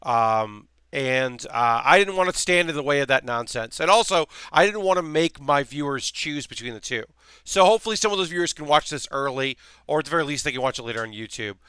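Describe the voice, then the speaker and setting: A man hosting a relaxed live stream while building a model kit, rambling casually with lots of fillers, his voice slightly worn. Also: on the high side, brisk, clear, resonant tone, no echo. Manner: rambling, chatty, many fillers and restarts.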